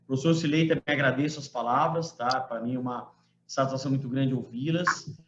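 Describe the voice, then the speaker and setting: A man's voice: medium pitch, brisk, very noisy. A man in his forties, a law professor from Brazil, giving a conversational online lecture to students and often checking in with short tag questions. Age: 40s. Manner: conversational, frequent tag questions, emphatic quoting. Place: home room. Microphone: computer mic on a video call. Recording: roomy, muffled video-call audio.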